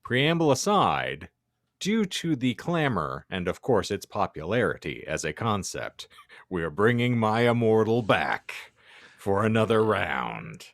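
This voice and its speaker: A man's voice: on the low side, sing-song, measured pace, no room sound, studio quality. A man hosting a podcast, delivering a theatrical introduction with wordy, mock-grand phrasing and casual banter. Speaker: podcast host.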